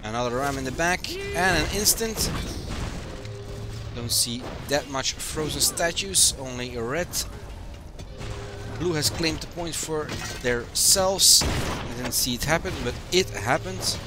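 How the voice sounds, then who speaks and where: Dutch man caster with a cold, steady play-by-play, higher voice, loud game music. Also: slow. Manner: calm running play-by-play. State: has a cold. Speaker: esports stream caster. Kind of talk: live game commentary.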